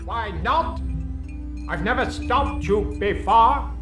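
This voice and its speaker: A man plays a bitter, defiant prisoner with a slow, sardonic drawl and a high-set voice. The recording is echoey, with faint music in the background. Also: animated. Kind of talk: amateur audio drama. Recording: echoey room.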